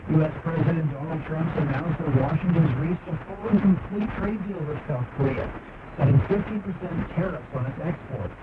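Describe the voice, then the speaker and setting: A man's voice: fairly high pitch, recorded from a distance, phone-quality sound. Man reading news over static-filled shortwave radio.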